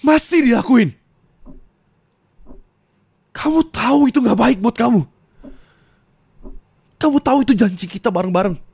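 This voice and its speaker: A man in an ASMR roleplay, scolding his partner bitterly. He moves between low muttering and raised angry reproach, recorded on a close mic. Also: expressive, a little slow, gravelly, pauses often, a little mellow, slightly rough, dry recording.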